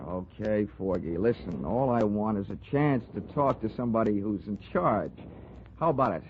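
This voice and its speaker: An actor playing a wry, world-weary American investigator in a slangy 1940s radio detective drama. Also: male voice, lowish voice, animated, moderate pace, slight background noise. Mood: sardonic. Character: wry, hard-boiled adult male investigator narrating his case. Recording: tinny 1940s radio with organ music.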